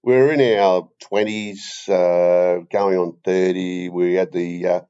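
An older man with an Australian accent, rambling haltingly and deadpan as he hosts a comedy podcast chat, on a slightly dull recording. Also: deep voice, smooth.

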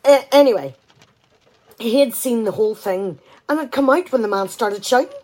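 An actress playing an exasperated, sarcastic wife in a radio play comedy, talkative and full of indignant questions. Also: female voice, on the high side, normal speed, darkish tone, close to an everyday voice. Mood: exasperated, chatty.

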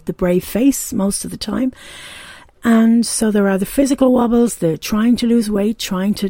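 A woman in her forties with a warm, mellow Dublin Irish accent talks openly into a close, dry mic. She speaks in a reflective podcast monologue. She pauses often with ums and restarts her sentences. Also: mid-range voice, no echo. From Ireland. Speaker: podcast host.

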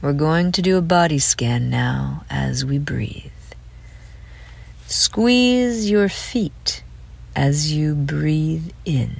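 A woman leading a guided relaxation meditation in a gentle, soothing way, with phrase ends trailing off into breath. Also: sits low, animated, very slow, vocal fry, husky.

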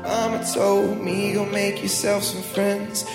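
A woman's voice: medium pitch, flat delivery, takes it slowly, over loud music. A woman singing a reflective pop song. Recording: roomy church hall with loud band.

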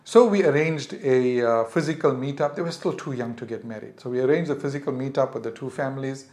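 A man's voice: mid-range voice, quick pace, darkish tone, reverberant. A middle-aged man with a South African English accent, hosting a podcast and earnestly advising parents in a reflective monologue. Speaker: podcast host.

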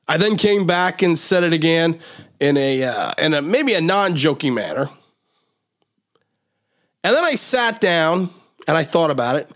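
Male sports talk host, slightly hoarse, high voice, lively swooping delivery, rambling analysis. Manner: animated, rambling football analysis.

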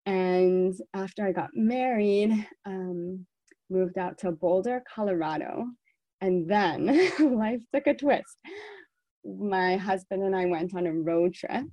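An American woman speaks alone as a podcast host, addressing her listeners directly. She takes her time and leans on key words for emphasis. Her mid-pitched voice sits in a dry recording with very little room sound. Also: takes it slowly, dry recording, highs cut off. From born in South Africa, raised in the United States. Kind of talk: solo podcast talk to listeners.

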